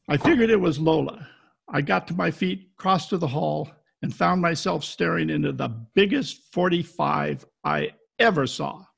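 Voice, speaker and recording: An actor playing a wry, deadpan private detective who narrates in 1940s radio style with a gravelly voice. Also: male voice, on the high side. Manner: deadpan, wisecracking. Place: home, recorded over video call.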